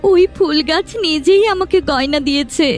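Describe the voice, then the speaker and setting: Woman as an innocent young cartoon girl, high voice. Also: moderate pace, soft background music, natural voice. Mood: pleading. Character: innocent, wronged young village girl, earnest and tearful. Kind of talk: Bangla fairy-tale cartoon dubbing.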